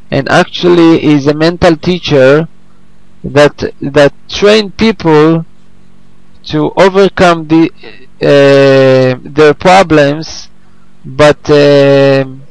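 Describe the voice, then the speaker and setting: A man who is not a native English speaker, teaching informally on a group call in a halting, repetitive way as he searches for words. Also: fairly high pitch, creaky, smooth, no echo, low-quality recording. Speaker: host and teacher of an online English class. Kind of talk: informal lesson on a conference call.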